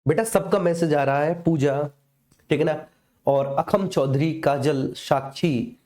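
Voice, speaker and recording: A man's voice: on the high side, takes it slowly, darkish tone. A man with a North Indian accent teaching a live online exam class in a colloquial, didactic way, often checking in with short tag phrases. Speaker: coaching teacher. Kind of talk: live online exam lecture with personal anecdotes.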